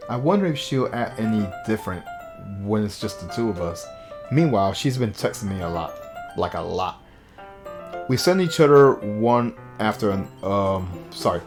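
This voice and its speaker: A man with a fairly low voice reads visual novel text aloud for a stream. He drops in casual asides and trips over words now and then. Guitar music plays clearly behind him. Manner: stumbles over words while reading. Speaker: game streamer.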